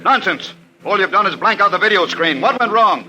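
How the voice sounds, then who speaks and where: An actor playing an impatient, commanding army general who barks urgent orders in an old radio drama. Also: male voice, high voice, animated, a little fast, exaggerated voice, rough recording. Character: commanding, impatient male army general running a weapons test. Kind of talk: mid-century radio drama acting. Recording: old radio recording with loud music.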